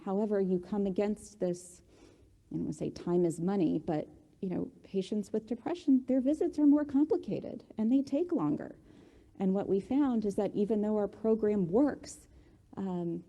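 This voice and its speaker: A woman's voice: medium pitch, dark tone. A woman on a panel steadily explaining a mental health tool she helped build. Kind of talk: panel presentation. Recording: long hall reverb.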